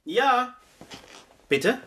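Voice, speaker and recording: Hesitant young butcher's son. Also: male voice, very high voice, big pitch swings, very slow, sharp tone, slightly rough, heavily acted. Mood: guarded. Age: young adult. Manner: hesitant, inverted word order. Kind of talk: German radio drama acting.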